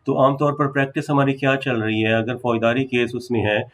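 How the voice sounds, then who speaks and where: A man with a Pakistani accent speaks as a law lecturer in a mid-pitched voice. He explains procedure informally and mixes English legal terms into his speech. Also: flat delivery, brisk. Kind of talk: informal legal lesson, explaining and reading out statute.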